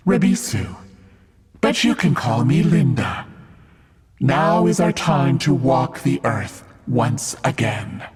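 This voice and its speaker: Evil female demon, heavily rasping, slow delivery, menacing imperious game villain, cavernous reverb. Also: extremely low pitch, heavily acted. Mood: sinister, seductive. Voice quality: raspy, husky. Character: malevolent female demon commanding the undead. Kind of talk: scripted villain game narration.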